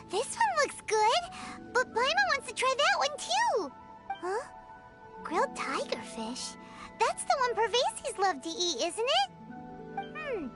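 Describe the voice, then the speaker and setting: Squeaky, very high childlike fairy. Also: female voice, heavily acted. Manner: excitable, speaks of self in third person. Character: tiny childlike floating fairy guide, cheerful and food-obsessed. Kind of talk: video game character dialogue. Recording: music behind the voice.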